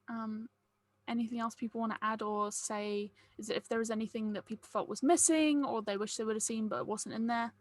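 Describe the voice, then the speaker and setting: A woman's voice: fairly high pitch, a bit bright, no room sound. A woman hosting a table read, chatting casually with the group. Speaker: host running a table read.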